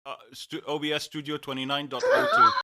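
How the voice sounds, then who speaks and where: A man's voice: a little high, wildly swooping pitch, a little fast, crowd noise. A man co-hosting a casual tech podcast, talking hesitantly with many fillers and frequent laughs. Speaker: podcast co-host.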